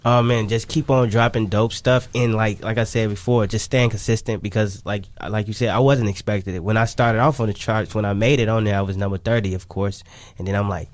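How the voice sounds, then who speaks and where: A man's voice: on the low side, steady tone, brisk. Casual man chatting as guest. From Chicago, United States. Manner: slangy, conversational, repeats phrases for emphasis. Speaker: guest, independent singer and songwriter. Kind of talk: casual radio interview chat.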